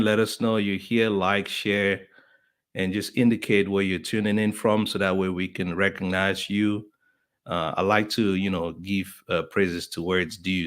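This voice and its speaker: Male podcast host, a bit low-pitched, loose and chatty, lots of "uh" and "you know", calls out viewers by name. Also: a bit bright. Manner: chatty, friendly, lots of fillers. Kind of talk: casual live-stream welcome.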